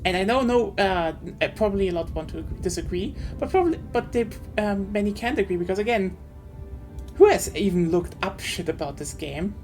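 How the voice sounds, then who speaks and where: A woman's voice: mid-range voice, animated, brisk, darkish tone. A woman hosting an award livestream, talking in an informal and opinionated way. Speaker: award show host. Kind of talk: casual livestream commentary. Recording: faint music behind the voice. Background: faint music.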